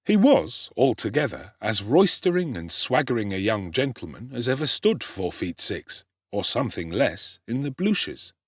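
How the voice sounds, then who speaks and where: A man giving a theatrical, expressive narration of a literary audiobook. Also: medium pitch, normal speed, few pauses, husky, no echo, studio quality.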